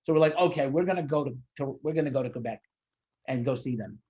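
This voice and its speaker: A man interviews a guest over a somewhat dull, lo-fi call line, his voice a little husky. He rambles eagerly, sounding like a devoted fan. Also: medium pitch, fast talker. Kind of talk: casual interview chat.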